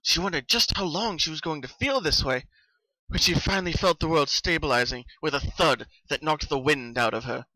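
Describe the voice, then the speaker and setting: A man narrating a story as a dramatic reading, with expressive, suspenseful delivery. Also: fairly high pitch, moderate pace, dry recording, poor audio. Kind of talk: dramatic fan fiction reading.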